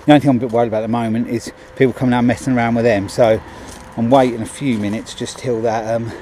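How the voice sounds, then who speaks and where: A man's voice: mid-range voice, fairly even intonation, very fast, warm tone, a little hiss or hum. A middle-aged man, a handyman, chatting casually with lots of ums as he shows finished work outdoors on a body-worn camera. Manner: chatty, many ums and restarts. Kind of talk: casual on-the-job narration. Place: outdoors at a driveway gate. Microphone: body-worn action camera.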